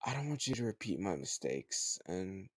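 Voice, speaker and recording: A man playing a teasing, slightly older home tutor who gently chides the listener in a conversational, intimate roleplay audio drama. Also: sits low, very animated, moderate pace, slightly rough, no room sound, studio quality, full character voice. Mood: gently chiding.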